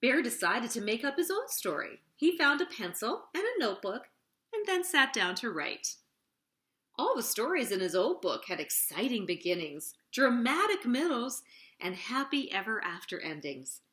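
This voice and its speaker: A woman hosting children's storytime, narrating a picture book in an expressive, deliberate, sing-song way. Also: fairly high pitch.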